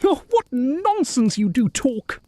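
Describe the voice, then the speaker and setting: A woman's voice: high voice, very animated, slow, warm tone, full character voice. A woman playing a blustering, easily amused male police prefect in an audio drama. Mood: amused, scoffing.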